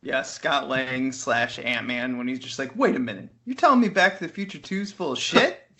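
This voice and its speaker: A man's voice: medium pitch, animated, moderate pace, bright, forceful tone. A man co-hosting a casual film podcast over an internet call, talking in an informal, wry way. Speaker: podcast co-host reviewing films. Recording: Skype call audio.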